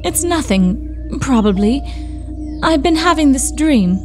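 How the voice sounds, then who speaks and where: Woman acting high-voiced anxious Victorian sister. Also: expressive, takes it slowly, music in the background. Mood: haunted, fearful. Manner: earnest, foreboding. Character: anxious young Victorian sister, gentle and fretful. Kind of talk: audio drama acting.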